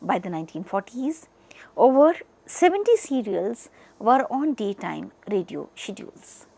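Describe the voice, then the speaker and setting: A woman with an Indian accent delivers a scripted educational lecture, speaking in deliberate, emphatic phrases with pauses. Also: a little high, very animated, vocal fry, mellow, no room sound.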